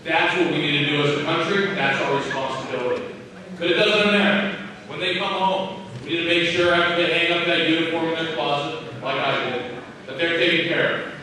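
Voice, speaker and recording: American male candidate, earnest and plain-spoken, slightly high-pitched, stump speech heard from off in a reverberant hall. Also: a little fast, pushed and bright. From Maine, United States. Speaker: political candidate. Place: indoor hall at a county caucus. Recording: echoey hall, distant mic, faint noise.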